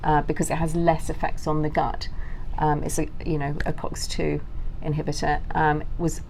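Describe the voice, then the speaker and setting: British woman, middle-aged, low voice, informal interview guest who explains at length, faint background noise. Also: flat delivery, moderate pace, vocal fry, darkish tone, low-quality recording.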